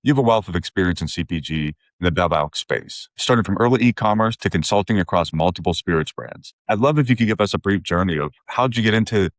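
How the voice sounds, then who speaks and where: A man's voice: low-pitched, lively intonation, fast, few pauses, no room sound. A man hosting a podcast, presenting and putting questions to a guest. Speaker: podcast host. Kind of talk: podcast intro and interview questions.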